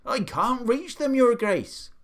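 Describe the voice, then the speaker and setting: A man voicing a flustered, deferential gardener in a storybook, calling out from a distance up high. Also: expressive, slow, exaggerated voice. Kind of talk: storybook character voice.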